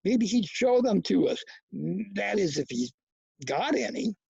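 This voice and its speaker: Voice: mid-range voice, sing-song, unhurried, vocal fry, mellow, breathy edge, no echo, lo-fi sound, full character voice. A reader voicing a timid, earnest young boy from a novel, talking in a plain, guarded, slangy way.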